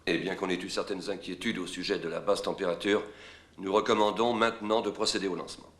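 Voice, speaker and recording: A man acting a measured engineering company executive in a dubbed docudrama, speaking formally and technically. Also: deep voice, fairly even intonation, a bit bright.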